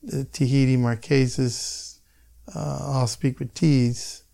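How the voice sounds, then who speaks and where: An older man from Hawaii with a Hawaiian accent, a podcast guest talking in a slow, musing way that trails off into soft asides. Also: mid-range voice, steady tone, gravelly. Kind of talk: casual interview chat.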